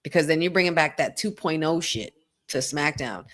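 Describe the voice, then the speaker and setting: Chatty woman with a lowish voice. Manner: animated, chatty, quick to laugh. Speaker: watch-along co-host. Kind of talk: casual live watch-along chat.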